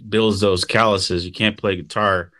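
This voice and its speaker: Male interviewer, fairly deep voice, dry recording, conversational and sincere delivery. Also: level tone, measured pace, fairly bright tone, dry recording. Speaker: podcast host. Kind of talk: casual interview chat.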